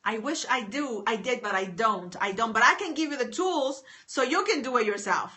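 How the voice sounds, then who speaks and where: Woman, writing coach, live-stream host, bright higher voice, bubbly and repetitive. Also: animated, moderate pace. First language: English. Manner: enthusiastic, repetitive, reads out viewer names. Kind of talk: live stream chatting with viewers.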